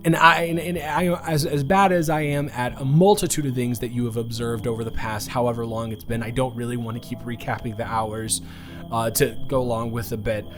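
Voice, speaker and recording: A nervous college boy, played by a young man speaks in a medium-pitched voice with music playing behind him. He is earnest and sweetly awkward, stammering through rambling, intimate romantic roleplay and repeating words for emphasis. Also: flat delivery, brisk, natural voice. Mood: sincere, tender.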